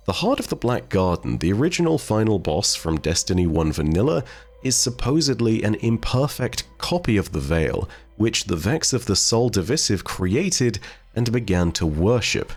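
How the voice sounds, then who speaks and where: Low-voiced man explaining game lore. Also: very animated, normal speed, a little mellow, slightly rough. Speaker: video game lore commentator. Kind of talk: explanatory commentary for viewers. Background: faint music.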